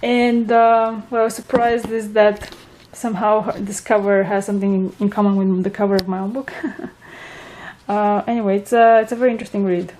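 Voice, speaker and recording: A young woman with a Romanian accent, a native Romanian speaker, chatting casually in a home vlog and often pausing to search for words. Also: mid-range voice, level tone, darkish tone, slight background noise, rough recording. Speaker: vlogger.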